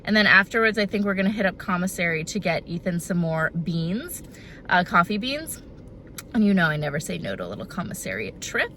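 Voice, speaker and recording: A woman's voice: lowish voice, sing-song, brisk, sharp tone. Woman in her thirties, chatty vlogger, slightly congested, faint background noise. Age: 30s. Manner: chatty, bubbly, self-deprecating asides. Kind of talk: casual vlog talk to camera. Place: out and about, car and home.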